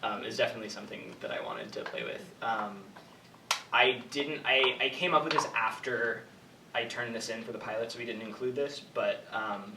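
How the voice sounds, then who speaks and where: A young man answering questions informally as a writer, haltingly thinking aloud and hedging as he goes. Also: mid-range voice, animated. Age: young adult. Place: indoor room with an audience. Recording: echoey room from far off, clear background noise.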